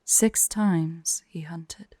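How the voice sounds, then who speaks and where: Woman voicing a slow, haughty, teasing dragoness. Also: fairly low pitch, fairly even intonation, warm tone, no echo. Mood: storytelling, grave. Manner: slow, imperious, playful, breathy near-whispers at times. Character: haughty, teasing female dragon, vain and secretly fond. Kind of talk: intimate ASMR roleplay and storytelling.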